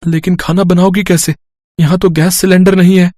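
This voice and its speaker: A man's voice: high-pitched, flat delivery, a little fast, a little mellow, no echo, studio quality, natural voice. A man playing an anxious, trusting younger brother and husband in a storybook tale, earnest and pleading. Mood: anxious, tender.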